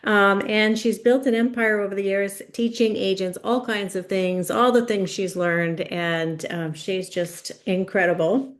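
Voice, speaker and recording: A woman hosting an interview podcast, her voice at a plain middle pitch. She introduces her guests with eager enthusiasm and leans on frequent "um"s between her thoughts. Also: fairly even intonation. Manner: eager, fills pauses with "um". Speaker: podcast host.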